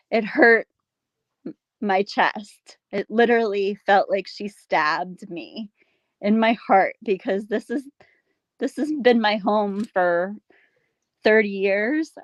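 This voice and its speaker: A middle-aged woman speaks in a casual conversation, her voice sitting at an ordinary pitch. She talks haltingly and with feeling, pausing and sighing. The sound is dry and close. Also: no room sound. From Pennsylvania, USA. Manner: emotional, halting, soft sighs. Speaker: guest, local resident.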